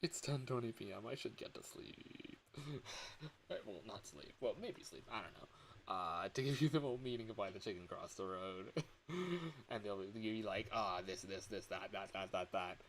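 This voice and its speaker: A man with a worn-out voice chatting casually on a livestream, halting and rambling as he comments on games. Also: on the low side, fairly bright tone, slight background noise. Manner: rambling, halting, breaks into chuckles.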